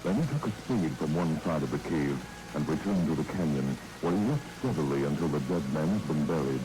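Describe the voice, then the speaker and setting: Man, bass voice, old-time radio story narration, scripted and dramatic, noisy recording with rain. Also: animated, fairly quick.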